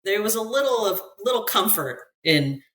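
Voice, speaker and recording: A woman's voice: medium pitch, expressive, moderate pace, a bit bright. An American woman talking as a podcast guest about her own experiences, talkative, often restarting mid-thought. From United States. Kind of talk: conversational interview, recounting work experiences abroad.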